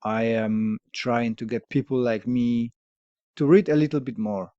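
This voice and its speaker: A man's voice: medium pitch, steady tone, a little slow, clear, resonant tone, no echo, highs cut off. Polish-accented man, thoughtful and hesitant. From Poland. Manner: thoughtful, hesitant, with fillers and soft laughs. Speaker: podcast host. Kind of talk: candid casual conversation.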